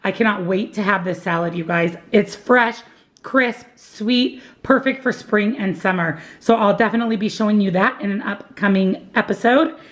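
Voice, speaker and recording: A woman's voice: medium pitch, normal speed. A woman vlogger, married and working, cheerfully walking through her grocery haul and weekly meal plan, gushing and repeating words for emphasis. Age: adult, married, working. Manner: upbeat, gushing, repeats "love, love, love".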